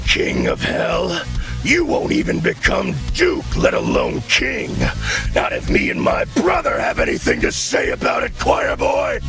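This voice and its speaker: Cocky angry demon brawler, gravelly, highish, wild swings in pitch, game music behind. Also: male voice, huge pitch swings, unhurried, full character voice. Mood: scornful, angry. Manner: sneering, aggressive, explosive. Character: arrogant hot-headed male demon brawler.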